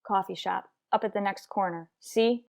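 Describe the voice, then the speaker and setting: Woman playing a deadpan, no-nonsense female police detective. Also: medium pitch, normal speed, gravelly, close to an everyday voice. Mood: hushed, watchful. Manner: clipped, matter-of-fact, deadpan. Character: terse, dutiful female police detective narrating her case. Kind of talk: old-time radio crime drama narration and dialogue.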